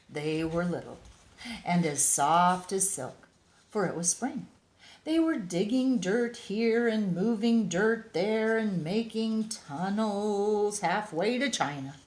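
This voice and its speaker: An older woman, a grandmotherly storyteller, reads to young children with expressive, drawn-out words and chatty asides. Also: lowish voice. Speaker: storyteller grandmother reading to kids. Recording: echoey room.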